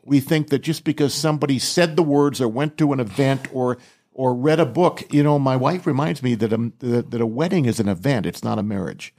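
A man's voice: medium pitch, fairly quick, darkish tone. An older man who leads a ministry, a studio guest talking in a playful but earnest way. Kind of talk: casual interview chat.

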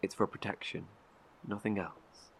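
A man's voice: fairly low pitch, steady tone, measured pace, vocal fry, slightly rough, exaggerated voice, poor audio. An actor playing a wary, guilt-ridden male survivor in an audio drama, terse, guarded and defensive. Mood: weary, defensive.

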